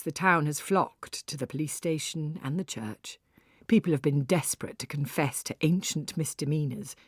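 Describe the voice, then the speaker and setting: A woman narrating a story in the first person, with an expressive, wry and theatrical delivery. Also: sits low, no echo, studio quality. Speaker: audiobook narrator.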